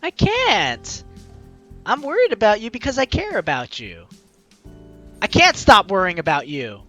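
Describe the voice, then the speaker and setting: A young adult let's play host with a bright, forceful voice that swings widely in pitch. The delivery is playful and theatrical, moving between commentary and reading lines aloud, with faint game music underneath. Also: huge pitch swings, unhurried. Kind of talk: casual gameplay commentary with dramatic reading of dialogue.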